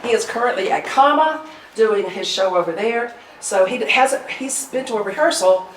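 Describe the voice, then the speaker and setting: A woman's voice: on the high side, moderate pace. Chatty woman addressing a room from afar. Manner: chatty, joking asides. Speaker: presenter introducing a staged reading. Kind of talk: informal pre-show welcome speech to an audience. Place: indoor arts venue, before a live audience. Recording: roomy, distant live-event recording with audience noise.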